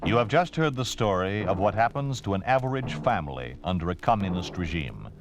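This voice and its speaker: A man's voice: low-pitched, big pitch swings, a little fast. A man announcing a 1950s radio program in a solemn, formal manner. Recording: old radio broadcast over music.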